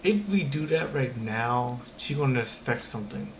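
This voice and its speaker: A man streaming a video game, reacting casually in halting, informal speech with game music behind him. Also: mid-range voice, animated, a little hiss or hum. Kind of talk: casual reacting and rambling over gameplay.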